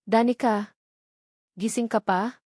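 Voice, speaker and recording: Woman plays troubled young man in a romance audio drama, soft and imploring, dry recording. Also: a little high, fairly even intonation, slow pace, no echo. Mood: gentle. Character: earnest, troubled young man pleading with his sister.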